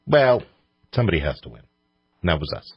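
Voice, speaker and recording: A male radio host chats casually in a low, husky voice. He keeps things informal and jokey. The recording is dull and lacking in top end. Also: big pitch swings, a little fast, no echo, soft background music. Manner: informal, joking. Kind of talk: casual chat.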